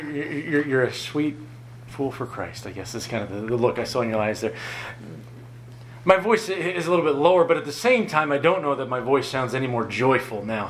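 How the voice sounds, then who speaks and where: A man preaching a sermon, earnest and often chuckling, his voice lower than usual after an illness and halting when he ad-libs. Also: a bit bright, a little hiss or hum. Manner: earnest, chuckles often, rises in pitch for emphasis; halting, repeats words when ad-libbing.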